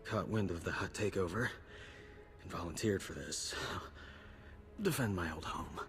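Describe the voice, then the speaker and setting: An actor playing a mortally wounded, stoic soldier in a video game, weak and strained, speaking in clipped phrases. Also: male voice, deep voice, measured pace, soft background music. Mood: pained, stoic. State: weak, strained, breathy from injury. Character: mortally wounded, stoic male soldier, loyal to his homeworld. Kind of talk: video game cutscene acting.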